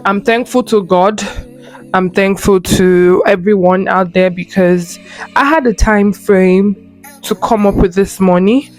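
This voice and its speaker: A young woman in her early twenties with a Nigerian Igbo accent, whose first language is Igbo, talks warmly and earnestly about her own past. She chats in a loose, personal way, full of fillers and self-corrections. Soft music plays faintly behind her. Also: medium pitch. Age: early 20s. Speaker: lifestyle creator. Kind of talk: personal storytelling to camera.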